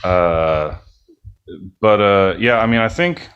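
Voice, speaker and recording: A man's voice: fairly low pitch, slow, a bit bright, clear, resonant tone, lo-fi sound. A man, speaking as a guest in a casual interview. He rambles a little and hedges his points, circling toward what he means. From United States. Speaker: band member, podcast guest.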